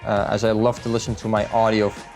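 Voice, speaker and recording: A man's voice: fairly low pitch, little pitch movement, quick pace, a little mellow. Man explaining over guitar music. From Netherlands. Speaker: car salesman presenting own car build. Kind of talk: casual vlog walkthrough.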